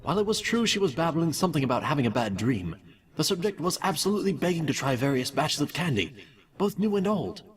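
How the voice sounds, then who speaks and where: A man's voice: on the high side, sing-song, quick pace, distant mic. A man playing an obsessive villainous candy maker in an audio drama, dictating experiment notes with clinical, theatrical self-satisfaction. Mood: smug, clinical.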